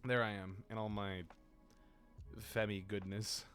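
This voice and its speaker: A worn-out young man chats loosely as a stream host, his voice sitting low and a bit deeper than usual. He rambles and pokes fun at himself in a playful way, while soft music plays faintly behind him. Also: flat delivery, unhurried, smooth. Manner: rambling, playful, self-mocking. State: tired and exhausted. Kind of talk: casual livestream chat.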